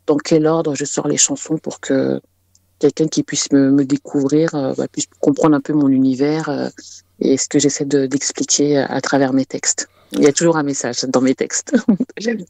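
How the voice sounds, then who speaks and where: A woman singer answering interview questions, pausing often with fillers and restarting her sentences. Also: very deep voice, steady tone, gravelly, mellow, no echo. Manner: hesitant, many fillers and restarts. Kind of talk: casual radio interview answers.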